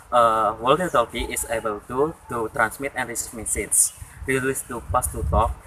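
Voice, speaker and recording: A man's voice: medium pitch, pushed and bright. A young man, a student, giving an explanatory presentation outdoors. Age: young adult. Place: outdoors in front of a parked car. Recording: faintly noisy outdoor recording.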